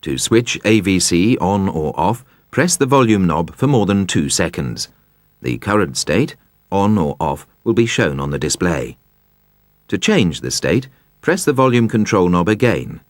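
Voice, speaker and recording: Deep-voiced man narrating instructions. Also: sing-song, no room sound, clean studio sound. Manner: measured, precise, explanatory delivery. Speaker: narrator of a car instruction video.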